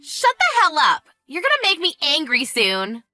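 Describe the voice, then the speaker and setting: A woman voicing a spiteful, greedy sister-in-law with a shrill, whiny manner in a narrated family drama. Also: big pitch swings, normal speed, sharp tone, faint music behind, full character voice. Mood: indignant, alarmed. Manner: shrill, indignant, pleading.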